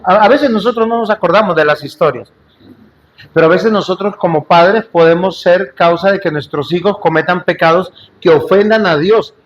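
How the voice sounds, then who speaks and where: High-voiced man preaching emphatically. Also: normal speed, lo-fi sound, a little hiss or hum. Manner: emphatic, repetitive rhetorical preaching with questions to listeners; jokes and asides in between. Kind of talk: sermon to a congregation. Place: church.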